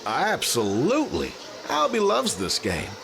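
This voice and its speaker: A man's voice: high voice, huge pitch swings, a little slow, creaky, exaggerated voice. A man playing a friendly, easygoing young athletic hero in an audio drama, with casual, slangy delivery. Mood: friendly, relaxed. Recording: noisy background ambience.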